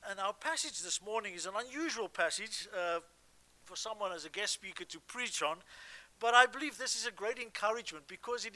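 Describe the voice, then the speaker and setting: A man with a South African accent preaching a sermon as a guest pastor, earnest and halting, with frequent restarts and fillers. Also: sits high, pushed and bright, low-quality recording.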